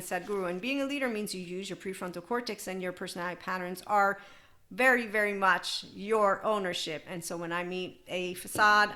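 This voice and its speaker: A woman's voice: mid-range voice, sharp tone. A woman hosting a podcast, talking in a loose, digressive self-help monologue with frequent laughs. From United States. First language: English. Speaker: podcast host.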